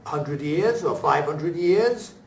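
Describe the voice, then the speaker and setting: A high-pitched man talks in an echoey room, some way from the mic. He is reflective and deliberate, often breaking his sentences into short, halting pieces. It has the feel of an actor discussing a performance after a show. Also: lively intonation, vocal fry, a little hiss or hum. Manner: halting, deliberate phrasing with emphatic pauses. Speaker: stage actor speaking at a talkback. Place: room at a staged reading, before an audience. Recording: echoey room, picked up from far off.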